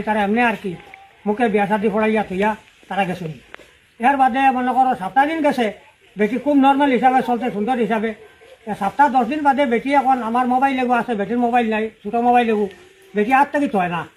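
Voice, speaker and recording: A man's voice: extremely high pitch, a little hiss or hum, low-quality recording. A middle-aged man with a Sylheti accent telling a personal account in a rambling, repetitive, unscripted way. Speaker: father-in-law telling his family's story.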